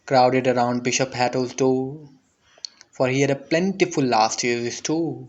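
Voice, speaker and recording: A man giving a dramatic, deliberate recital of a narrative poem, with theatrical intensity. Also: medium pitch, lo-fi sound. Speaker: poetry reader.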